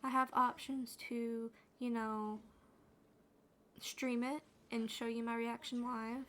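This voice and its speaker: A woman gaming streamer with a naturally quiet, soothing voice, chatting in a loose, rambling way while she plays. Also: a little high, little pitch movement, slow. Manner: rambling, with fillers and restarts. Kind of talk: soft-spoken gaming chat.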